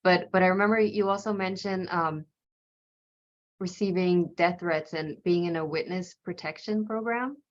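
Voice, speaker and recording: A woman interviewer who speaks warmly and admiringly, hesitating with fillers. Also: fairly low pitch, normal speed. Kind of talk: interview conversation. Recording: dull lo-fi audio.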